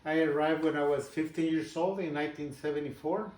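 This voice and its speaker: A man in his sixties from Guatemala with a Spanish accent, telling his story haltingly in a casual interview. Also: on the high side, fairly even intonation, darkish tone, echoey room, distant mic, slight background noise, rough recording. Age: 60s. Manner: halting, personal storytelling with pauses and restarts. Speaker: business owner guest.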